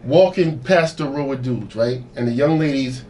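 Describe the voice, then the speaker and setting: A man's voice: mid-range voice, moderate pace, warm tone, recorded from a distance, a little hiss or hum. A man talking in a casual podcast chat, earnest and hedging, often restarting his sentences. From Caribbean family roots. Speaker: guest DJ. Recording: voice a bit off-mic.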